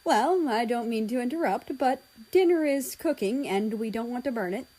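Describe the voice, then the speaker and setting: Woman as polite British dad in a story reading: measured, kindly, a touch stern, British accent. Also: fairly high pitch, animated, warm tone, poor audio. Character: polite, fatherly British man, gently stern.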